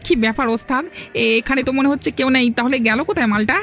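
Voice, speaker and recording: An actor voicing a village crook's eager, fawning male henchman who repeats words excitedly in a cartoon. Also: high voice, racing, soft background music. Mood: conspiring. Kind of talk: cartoon voice acting in a folk-tale drama.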